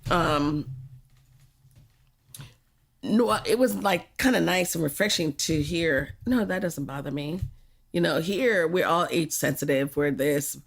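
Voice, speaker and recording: Low, gravelly older woman from Jamaica. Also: a little slow, pauses often. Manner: frank, laughing, reflective. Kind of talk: candid podcast conversation.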